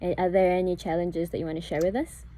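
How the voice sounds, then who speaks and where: Mellow-voiced woman hosting a podcast. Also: on the low side, level tone, quick pace. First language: English. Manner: chatty and warm, laughing often. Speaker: podcast host. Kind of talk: casual podcast interview.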